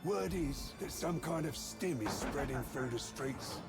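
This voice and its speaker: An actor playing a gruff, no-nonsense soldier who briefs his squad in a video game, blunt and colloquial. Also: a little high, animated, unhurried. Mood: brisk, determined. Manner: blunt, colloquial, barking orders. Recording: music behind.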